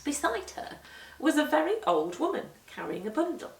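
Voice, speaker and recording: Animated woman storyteller in an echoey room. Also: high voice, gravelly. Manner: deliberate, animated, emphatic storytelling. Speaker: storyteller reading aloud to children. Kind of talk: expressive children's picture-book read-aloud. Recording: roomy echo, distant microphone.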